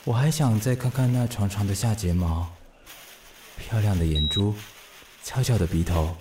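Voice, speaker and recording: A man's voice: fairly low pitch, normal speed, noisy background, natural voice. An actor playing a good-natured, easily smitten widowed single father in a radio drama, musing to himself expressively. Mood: wistful, dreamy. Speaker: voice actor, lead. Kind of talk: radio drama acting with inner monologue.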